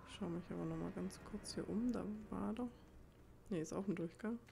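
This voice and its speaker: A woman's voice: lowish voice, a little fast, vocal fry, noisy background. A woman giving casual gameplay commentary in a chatty, playful way, muttering asides to her viewers. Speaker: let's play host. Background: video game ambience.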